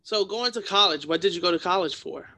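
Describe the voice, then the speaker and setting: A man's voice: high voice, a little fast, bright, forceful tone. A young man hosting a casual interview, informal and agreeable, often affirming what his guest says. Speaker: podcast host, interviewer.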